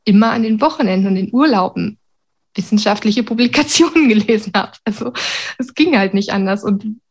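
Woman, middle-aged, from Berlin, medium pitch, candid, reflective, personal interview storytelling. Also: fairly quick. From Berlin, Germany. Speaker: interviewee, university secretary with a doctorate.

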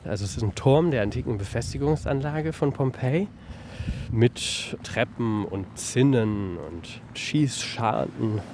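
A man's voice: mid-range voice, lively intonation, unhurried, a little mellow. A man speaking conversationally in an on-site interview as the director of an archaeological park. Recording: faint background noise on location. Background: faint ambient noise.

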